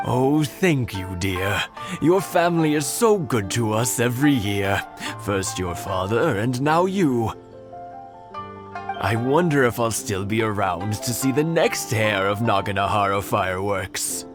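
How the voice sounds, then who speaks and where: Slow, low-voiced genial old village man. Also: lively intonation, music in the background. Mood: grateful. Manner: courteous, unhurried, grandfatherly. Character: kindly elderly male villager, grateful and genial. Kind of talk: anime video game voice acting.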